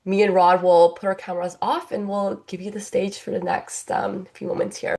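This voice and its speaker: A woman's voice: medium pitch, level tone, normal speed, a little mellow. A woman hosting a webinar in a semi-scripted, affirming and encouraging way. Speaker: webinar host.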